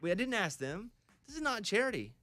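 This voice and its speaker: A man's voice: sits high, very animated, brisk, sharp tone. Man hosting morning radio, teasing. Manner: teasing, steers the conversation, backs up a cohost. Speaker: radio show host. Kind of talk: casual morning radio banter. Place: radio studio. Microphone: studio microphone.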